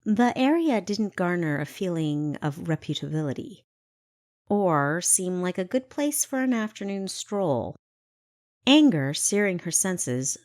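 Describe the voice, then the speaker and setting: A woman reading a story aloud as narrator in an expressive, scripted storytelling manner. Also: on the low side, no room sound, studio quality. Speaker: author narrating own audiobook.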